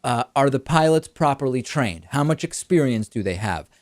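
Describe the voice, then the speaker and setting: A man hosting a political talk show, explaining a news story in a brisk way with rhetorical questions. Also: medium pitch, lively intonation, dry recording, clean studio sound. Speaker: host.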